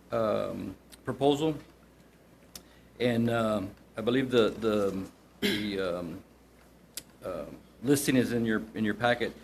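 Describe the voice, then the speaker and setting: A city staff man presenting an item to a city commission, halting often with ums and repeated words. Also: lowish voice, slight background noise.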